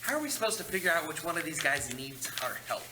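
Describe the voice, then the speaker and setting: A man's voice: fairly high pitch, very animated, quick pace, heavy background noise. A man reading the part of a sarcastic, irritable dead man at a screenplay table read. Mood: irritated. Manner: snarky, exasperated.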